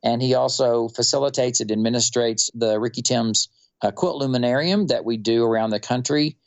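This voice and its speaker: A man from North Texas with a Texan accent, telling stories in a relaxed, unhurried interview with many fillers. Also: medium pitch, dull audio. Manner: unhurried, rambling storyteller with fillers. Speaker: quilter and musician guest.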